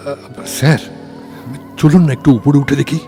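A man's voice: medium pitch, steady tone, normal speed, warm tone, faint music behind, natural voice. A man acting a deferential, wary assistant in an audio story, speaking hesitantly and repeating himself.

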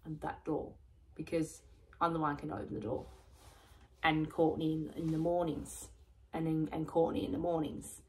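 A woman talking in a rambling personal vlog, repeating herself often, with a voice going croaky. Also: low voice, flat delivery, moderate pace, poor audio. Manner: repetitive, loose, restarts and repeats herself often. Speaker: vlogger.

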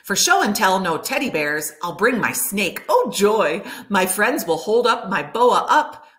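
A middle-aged woman reads a children's picture book aloud like a teacher, animated and clearly enunciated for young listeners. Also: mid-range voice, measured pace. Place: room at home by a window, indoors.

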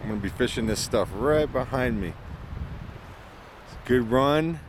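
A relaxed man in his forties chats through a fly fishing outing, filming himself one-handed on a camcorder. Wind and running water rush clearly over the mic behind his easygoing, mid-pitched voice. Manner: relaxed, self-deprecating, talking while fishing. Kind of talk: casual outdoor vlog commentary. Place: outdoors, wading in a river in early spring. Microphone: handheld camcorder mic. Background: wind and rushing water.

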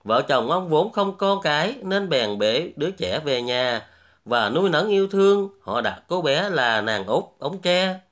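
A man's voice: on the high side, very animated, unhurried, a bit bright. A man hosting and narrating a fairy tale from a script as a storyteller. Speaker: storyteller, host.

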